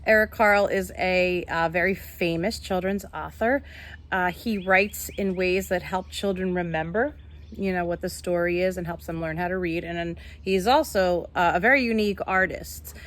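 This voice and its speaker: A woman's voice: fairly low pitch, steady tone, normal speed, fairly bright tone. A woman teacher reading a picture book aloud to young children, instructing and explaining simply as she goes. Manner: instructive, addresses young listeners with simple questions.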